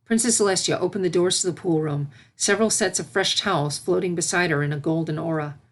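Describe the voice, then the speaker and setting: Low-voiced woman narrating a story. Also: fast talker. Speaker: fan fiction narrator. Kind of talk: expressive scripted story narration.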